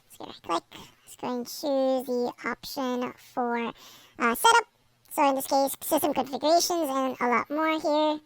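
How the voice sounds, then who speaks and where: A woman with a high-pitched voice guides a software how-to. She goes through it step by step, repeating her phrasing. The recording is dry, with little room sound. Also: normal speed, dry recording, poor audio. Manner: repetitive step-by-step instructing. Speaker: tutorial host. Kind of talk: screen-recorded software tutorial narration.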